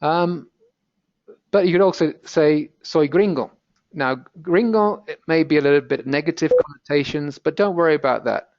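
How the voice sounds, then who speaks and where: A man's voice: fairly high pitch, moderate pace, a little mellow, dry recording, highs cut off. A British man with a British accent, presenting an encouraging, patiently explained language lesson in a webinar. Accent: British English. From Britain.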